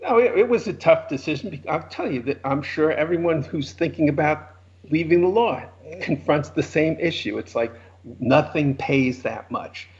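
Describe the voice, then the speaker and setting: Gravelly New York man telling wry stories. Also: a little high, expressive, normal speed. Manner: wry, anecdotal, self-deprecating humor. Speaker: guest, former lawyer. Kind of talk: conversational storytelling interview. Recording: slightly muffled lo-fi audio.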